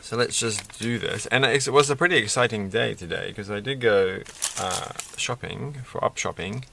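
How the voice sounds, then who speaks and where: A middle-aged man talks in a low, croaky voice with a bright, forward edge. He rambles casually as he builds at a desk, pausing to hunt for words. Faint noise and small clicks sit behind him. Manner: rambling, halting, searching for words. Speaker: Lego build video creator. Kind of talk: casual hobby vlog, unscripted commentary while building. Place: indoors at a desk.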